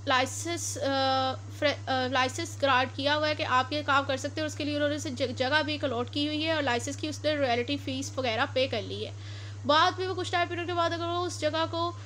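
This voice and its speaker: A woman's voice: sits high, brisk, a bit bright, slight background noise. A woman giving a law lecture, explaining step by step and often stopping to correct herself. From Pakistan.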